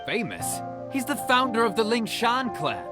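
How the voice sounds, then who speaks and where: An actor playing a proud, earnest young martial arts disciple who explains things in a video game. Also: male voice, very high-pitched, huge pitch swings, normal speed, over loud music, heavily acted.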